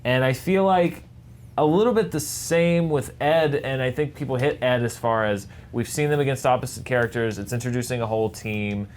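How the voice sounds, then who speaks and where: Male judge, average pitch, informal and hesitant reasoning, light background noise. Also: moderate pace. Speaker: judge and fact checker on debate show. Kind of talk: casual spoken commentary.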